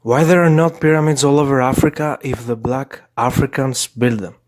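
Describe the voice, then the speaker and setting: A man commenting deliberately, posing questions to make his points. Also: mid-range voice, steady tone, a little mellow.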